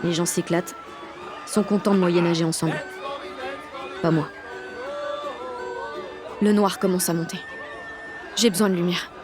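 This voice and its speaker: A woman's voice: lowish voice, steady tone, measured pace, pauses often, over music, crowd noise, lightly acted. An actress with a French accent playing a cocky sixteen-year-old girl who narrates her day in slangy, sweary audio fiction. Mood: doubting. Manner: slangy, sweary, punchy inner monologue. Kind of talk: first-person audio fiction monologue.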